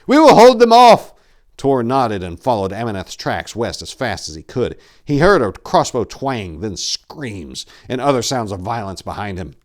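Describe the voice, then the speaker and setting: Male narrator, deep pitch, grave, intense, theatrical, dark fantasy horror audiobook.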